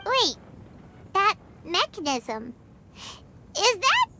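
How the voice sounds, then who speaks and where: A woman voicing a tiny, chirpy, cheeky fairy girl companion in a video game, with a childlike, squeaky, excitable delivery. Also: drawn-out words, heavy background noise, full character voice. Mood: curious, grumbling. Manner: excitable, refers to self by name. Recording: loud music under the voice.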